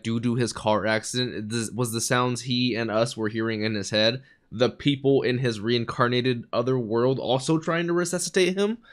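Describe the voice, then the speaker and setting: A young man with a raspy, sick voice chatting excitedly while reacting to an anime in a small home studio, talking into a boom mic. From United States. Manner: excitable, chatty, laughing often. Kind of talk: casual anime reaction commentary. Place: small bedroom studio with neon lights. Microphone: studio mic on boom arm, headphones.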